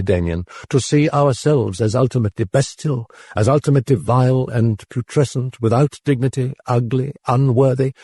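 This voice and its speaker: A man's voice: medium pitch, warm tone, slightly rough, dry recording, clean studio sound. A man voicing an older, weary priest in an audiobook, earnest and brooding.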